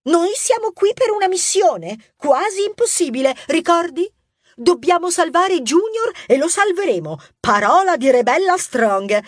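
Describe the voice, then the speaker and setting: Casting: actress as brash, teasing young rodent heroine for children's audio story; high-pitched, swooping, theatrical delivery. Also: female voice, big pitch swings, measured pace, dry recording, studio quality, full character voice. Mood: insistent.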